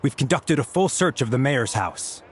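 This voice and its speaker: A man's voice: medium pitch, expressive, moderate pace, soft background music, natural voice. A man playing a dutiful subordinate officer in a video game, with a formal, clipped military manner. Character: dutiful male subordinate officer reporting to superior.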